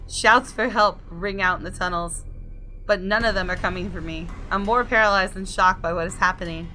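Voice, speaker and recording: A woman's voice: mid-range voice, moderate pace, sharp tone. A woman reading visual novel text aloud and reacting casually and expressively as she plays. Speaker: gamer doing a visual novel let's play. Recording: music under the voice.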